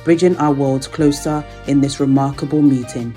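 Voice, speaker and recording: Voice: mid-range voice, fairly even intonation, normal speed, over loud music. A narrator delivering formal, solemn scripted narration for a travel documentary.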